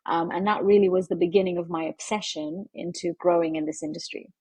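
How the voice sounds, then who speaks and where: A woman who grew up in London, answering interview questions in an earnest, measured way with frequent fillers. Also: lowish voice, steady tone, mellow, slightly rough, no echo. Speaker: interview guest, media executive.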